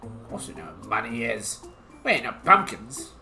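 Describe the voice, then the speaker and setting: Male game hunter, voiced by a streamer, Australian accent, easygoing drawl, bouncy pitch, soft background music. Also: very animated, moderate pace, gravelly, full character voice. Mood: matter-of-fact. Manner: folksy drawl, slangy. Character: gruff male monster hunter from afar. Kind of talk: reading game dialogue aloud on stream. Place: home streaming setup.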